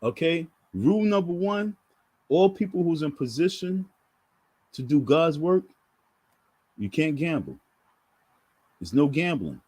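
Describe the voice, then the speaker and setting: A man's voice: on the high side, very animated, measured pace, pauses often, darkish tone, breathy edge, no echo. A man giving preachy casual commentary to his viewers, emphatic and repetitive in a sermon-like way. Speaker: online commentator.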